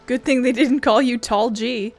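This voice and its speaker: A woman's voice: on the high side, fairly even intonation, normal speed, faint music behind. A woman giving casual gameplay commentary, sarcastic and sweary. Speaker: gaming video host.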